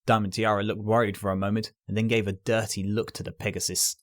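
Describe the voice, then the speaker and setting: A man's voice: fairly low pitch, level tone, fast. A man reading scripted story narration as the narrator. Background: music.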